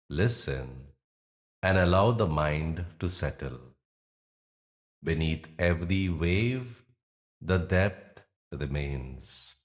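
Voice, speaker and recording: A man guiding a yoga nidra meditation in a soothing, instructional manner with pauses between phrases. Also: rumbling low. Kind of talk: guided meditation narration.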